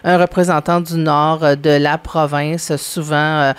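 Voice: medium pitch, level tone, a little slow, clean tone. A correspondent in radio chat, talking hesitantly with many fillers and restarts. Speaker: regional correspondent.

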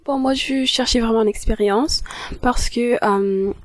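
A teenage girl, a high school intern, answering interview questions in a rambling way with many fillers and restarts. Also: unhurried, dry recording. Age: teens.

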